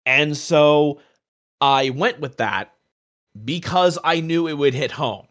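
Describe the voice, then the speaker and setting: A man's voice: fairly high pitch, slow, a bit bright. A young man podcast co-host in casual, personal discussion, stressing his points by repeating words.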